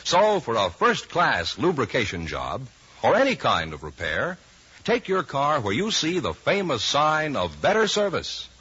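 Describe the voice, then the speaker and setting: A man's voice: medium pitch, huge pitch swings, unhurried, pushed and bright. An American man announcing in polished, emphatic 1950s radio style, reading scripted sponsor messages. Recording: dull, raspy vintage radio broadcast.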